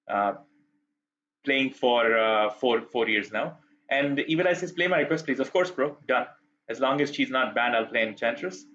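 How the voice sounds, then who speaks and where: A man's voice: medium pitch, fairly bright tone, lo-fi sound. A man from Pakistan chatting casually and candidly as he live-streams a game, informal and reflective. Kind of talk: casual live-stream chat during gaming.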